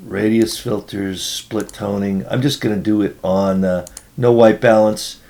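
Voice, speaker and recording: Hoarse man talking through photo edits. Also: on the low side, a little mellow. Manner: casual, rambling, talks through each step. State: hoarse from being sick. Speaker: photography tutorial host. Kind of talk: screen-recorded editing tutorial.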